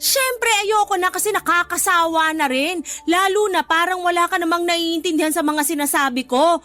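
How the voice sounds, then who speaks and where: An actress playing an exasperated, scolding but concerned older sister in a radio drama. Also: female voice, very high-pitched, normal speed, sharp tone, faint music behind. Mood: exasperated, pleading. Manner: scolding, emphatic. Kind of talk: Filipino radio drama dialogue.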